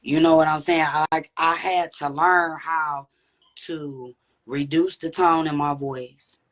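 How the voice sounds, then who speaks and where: A woman with a deep, raspy voice, speaking candidly and reflectively as a podcast guest. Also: fairly even intonation, slow. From Texas. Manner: reflective, earnest, with frequent "you know what I'm saying". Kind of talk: candid conversational interview.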